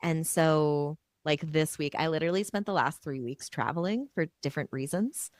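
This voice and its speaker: A woman hosting a podcast, chatting in a reflective, relaxed way while she is losing her voice. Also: low voice, normal speed, no room sound. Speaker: podcast host. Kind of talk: casual podcast conversation.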